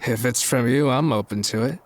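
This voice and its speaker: A man's voice: medium pitch, fairly quick, natural voice. A man playing a suave, smitten rich man on a date in an audio drama. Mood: smitten, smooth.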